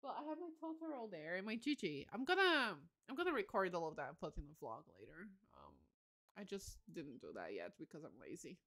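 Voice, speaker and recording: A woman's voice: on the low side, sing-song, fairly quick, fairly bright tone. A woman in her late twenties, a bit tired, chatting casually and candidly as she livestreams a game, giggly and self-deprecating. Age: late 20s.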